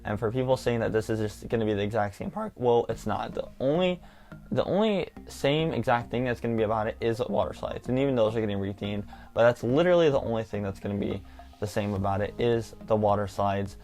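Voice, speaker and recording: Excited young man vlogging about theme parks. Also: lowish voice, a little fast, soft background music. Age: young adult. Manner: enthusiastic, rambling, lots of "like". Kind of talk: casual excited vlog talk.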